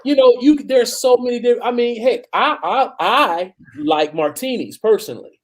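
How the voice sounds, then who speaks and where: A man's voice: very high-pitched, normal speed. An American man in his forties chatting casually as the wine expert guest on a panel show. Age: 40s.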